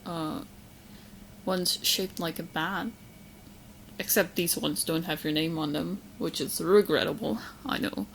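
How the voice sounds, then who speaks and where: A woman acting as a lovesick yandere witch with a fairly low, young voice. She talks sweetly and playfully to her beloved in an ASMR audio roleplay, possessive underneath the cuteness. Noticeable background noise sits behind her. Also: vocal fry, low-quality recording. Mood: bashful, sweet. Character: sweet, romantically obsessed yandere witch girlfriend. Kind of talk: ASMR roleplay audio drama, spoken to the listener.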